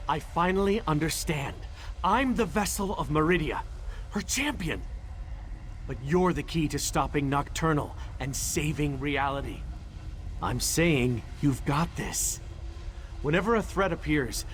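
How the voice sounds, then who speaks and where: An actor playing a brave, earnest knight in a fantasy video game, a self-sacrificing champion with a heroic manner. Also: male voice, on the high side, slight background noise. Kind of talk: video game character acting.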